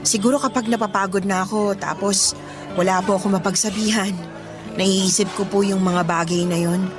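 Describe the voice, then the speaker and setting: A woman's voice: medium pitch, steady tone, moderate pace, over music, close to an everyday voice. Woman acting as a respectful, burdened young Filipina. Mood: weary, sorrowful. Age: young adult. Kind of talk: Filipino radio drama acting.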